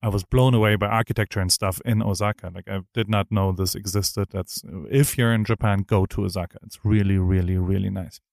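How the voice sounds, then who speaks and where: A man's voice: on the low side, steady tone, brisk, gravelly, few pauses, darkish tone, dry recording, clean studio sound. A middle-aged man hosting a casual interview, talking eagerly in rambling sentences with many restarts. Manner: enthusiastic, rambling with frequent restarts. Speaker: podcast host.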